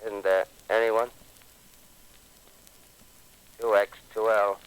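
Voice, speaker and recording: An actor playing a desolate lone radio operator, calling out in a flat, measured, repetitive way in a 1930s radio drama. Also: male voice, fairly low pitch, clean tone, lightly acted, rough recording. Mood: desolate, searching.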